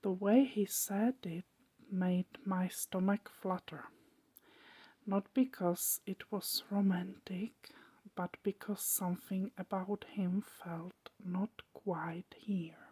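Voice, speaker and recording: A woman narrating a story as ASMR in a soft, hushed whisper, breaking it into short, deliberate phrases. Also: medium pitch, fairly even intonation, husky.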